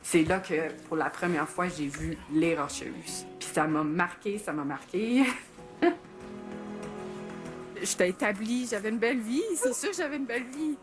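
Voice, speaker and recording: A woman's voice: medium pitch, a little slow, over music. A middle-aged woman from Northern Ontario, chatting in a documentary interview and laughing often. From Sudbury, Northern Ontario, Canada. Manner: chatty, laughs often, uses many fillers. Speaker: mountaineer interviewee. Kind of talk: documentary interview, casual recollection.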